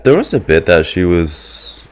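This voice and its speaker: Very low-voiced woman reacting casually. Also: very animated, unhurried, noisy background. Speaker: streamer reacting to a game. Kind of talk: casual reaction commentary with slang and swearing.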